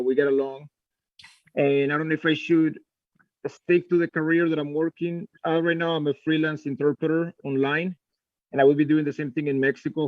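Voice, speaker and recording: A man's voice: fairly high pitch, dry recording. A young man calling into a live show to ask an earnest, rambling question about his plans. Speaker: caller. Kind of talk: asking a question on a video call. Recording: tinny, phone-like line.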